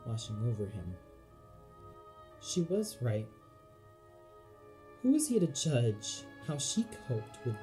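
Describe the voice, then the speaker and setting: Male narrator, slow delivery, medium pitch, solemn, theatrical audio drama storytelling. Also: warm tone, poor audio.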